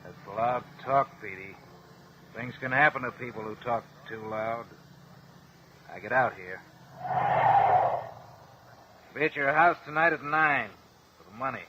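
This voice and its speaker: An actor playing a smooth racketeer who makes quiet threats in a vintage radio crime drama. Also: male voice, medium pitch, normal speed, dull audio, close to an everyday voice. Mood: quietly menacing. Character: smooth, menacing male racketeer demanding his money. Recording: noisy old radio drama recording.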